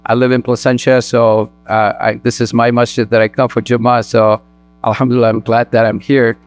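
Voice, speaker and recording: A man from Sri Lanka speaking as a guest at a mosque, earnest and in quick bursts. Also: medium pitch, level tone. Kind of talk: personal talk at a community event.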